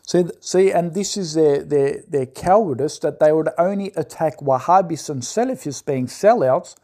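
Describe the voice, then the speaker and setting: A man's voice: a little high, moderate pace, warm tone. A man in an impassioned, scolding monologue who keeps asking "right?". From Australia. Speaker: commentator.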